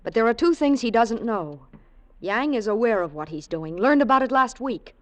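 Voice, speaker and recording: An actress playing a cool, scheming femme fatale with a poised, insinuating delivery in a 1940s radio drama. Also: female voice, on the high side, expressive, moderate pace, lightly acted. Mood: cool, enticing.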